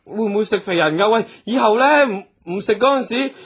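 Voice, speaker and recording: A man's voice: sits high, expressive, fairly quick, breathy edge. A man playing a timid, childlike young performer in a Hong Kong Cantonese radio drama, whining and anxious. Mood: reluctant worry. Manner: whining, anxious, babyish protesting. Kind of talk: festive radio drama acting. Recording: music under the voice.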